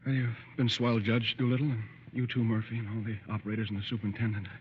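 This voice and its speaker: An actor playing a despairing young father in an old radio drama, halting and subdued. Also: on the low side, little pitch movement, close to an everyday voice. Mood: defeated, grateful. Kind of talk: 1930s-40s radio drama acting. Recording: muffled, noisy old radio recording.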